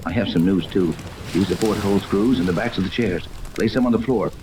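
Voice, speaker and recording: A man's voice: low-pitched, fairly quick, close to an everyday voice. A man playing a resolute captive captain in a 1930s radio serial, with terse, urgent, purposeful speech. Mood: grim, determined. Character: resolute captive male radio-operating captain plotting escape. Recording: noisy vintage 1930s radio recording.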